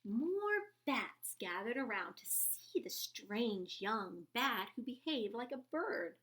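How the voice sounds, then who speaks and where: A woman narrates a children's storybook in a high, bright voice. She takes her time, phrasing expressively with plenty of melodic movement. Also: sing-song, slow pace. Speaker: storybook reader.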